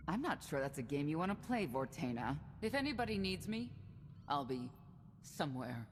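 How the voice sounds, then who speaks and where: Low, cool proud knight. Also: female voice, big pitch swings, normal speed, big-room sound, slight background noise. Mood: defiant, cutting. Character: proud, cutting female knight, battle-hardened and defiant. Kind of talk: video game cutscene acting.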